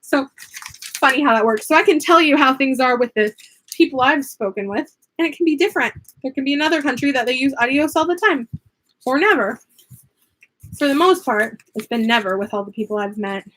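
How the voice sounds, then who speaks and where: A woman's voice: high voice, lively intonation, fairly quick, a bit bright, rough recording. A young American woman chatting casually while making crafts, laughing often and drifting into tangents. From United States. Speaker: craft vlogger and online teacher.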